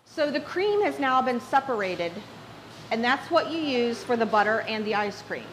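Female host, middle-aged, conversational and curious, slightly high voice over noticeable background noise. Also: big pitch swings, normal speed, a bit bright, low-quality recording. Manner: inquisitive, recaps answers. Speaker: interviewer, host. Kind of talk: conversational interviewing. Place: farm and indoor creamery room. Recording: clear background equipment noise.

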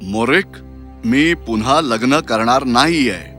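A grieving emperor from a fairy tale cartoon, weary and resigned. His mid-pitched voice is curt and sighing, yet clear-edged and bright, over faint music. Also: slow. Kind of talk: animated fairy tale character acting.

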